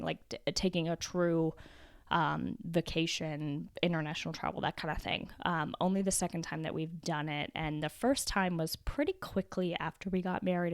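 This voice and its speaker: A young adult woman with a cold, her voice raspy and deeper than usual, chatting casually on her solo podcast with fillers and restarts. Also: no echo, studio quality. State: hoarse from a cold. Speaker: podcast host.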